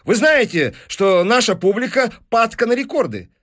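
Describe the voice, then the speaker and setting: A man's voice: sits high, big pitch swings, normal speed, a bit bright, voice pushed up, exaggerated voice. A man voicing a pushy, calculating newspaper businessman in an audiobook, with eager salesman's patter. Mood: glib, insistent. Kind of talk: audiobook character dialogue.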